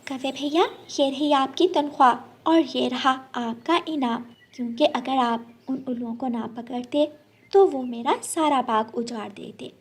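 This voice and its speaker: A woman's voice: high-pitched, unhurried, husky, heavily acted. A woman voicing a kind, polite female bird who owns an orchard in a children's cartoon. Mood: friendly.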